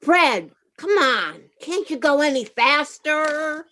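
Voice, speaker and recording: Radio play role, female actor: nagging older wife, impatient, high and drawn-out, swooping delivery. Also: sing-song, sharp tone, exaggerated voice. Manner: impatient, prodding. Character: bossy, nagging elderly wife. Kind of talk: amateur radio play acting.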